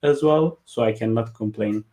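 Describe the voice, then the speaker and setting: A man's voice: lowish voice, moderate pace, warm tone. Hesitant man on a video call. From Turkey (Kurdish region, Diyarbakır). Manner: hesitant, many filler "uh"s, wry laughs. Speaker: guest, business consultant and coach. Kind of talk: casual interview on a video call.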